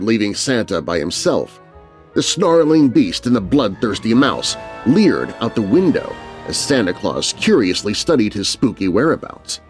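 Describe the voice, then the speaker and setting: A male narrator tells a spooky children's tale in rhyme. His delivery is theatrical and very animated, with music underneath. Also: fairly low pitch. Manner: theatrical, rhyming storyteller delivery. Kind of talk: dramatic children's horror story narration.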